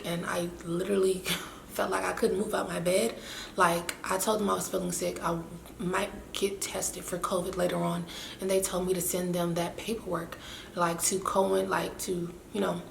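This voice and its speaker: A young woman with a raspy, winded voice, chatting in a rambling, self-mocking way to her camera. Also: on the low side. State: winded and hoarse after illness. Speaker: vlogger. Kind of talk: casual chat to camera. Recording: echoey room with faint hiss.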